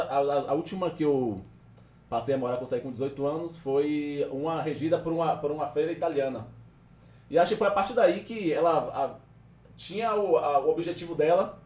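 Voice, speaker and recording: A man with a Bahian accent, a podcast guest calmly recounting his life story and searching for where to begin. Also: a little high, distant mic. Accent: Bahian Portuguese. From Salvador, Brazil. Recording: roomy sound, off-mic.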